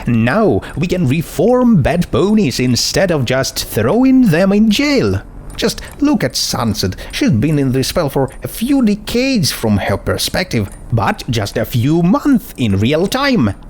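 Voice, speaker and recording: Man voicing a high, chipper pony princess. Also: huge pitch swings, takes it slowly, full character voice. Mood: smug, matter-of-fact. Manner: earnest, eager, gushing, pedantic. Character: chipper, self-righteous young female unicorn pony princess. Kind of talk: storybook character voice in fan fiction reading.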